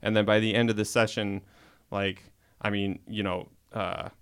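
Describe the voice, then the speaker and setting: A man speaks in a low, smooth voice during a relaxed chat. He talks hesitantly, full of small pauses and restarts as he works out what he means. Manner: hesitant, many fillers and restarts. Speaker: podcast guest, host's husband. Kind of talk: casual conversation.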